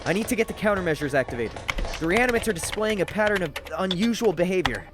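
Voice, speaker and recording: A man's voice: high-pitched, expressive, fast. A man voicing a stiff, pedantic, nerdy scientist who talks in formal, precise technical phrases, in a dramatized zombie horror comic. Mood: stiff, urgent. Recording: loud music and gunfire behind.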